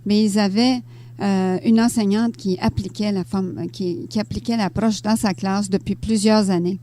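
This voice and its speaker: An older woman from Ontario with a Canadian French accent, answering interview questions conversationally and pausing often as she thinks. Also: mid-range voice, steady tone, mellow, slight background noise. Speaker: interview guest, author and teacher. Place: radio studio.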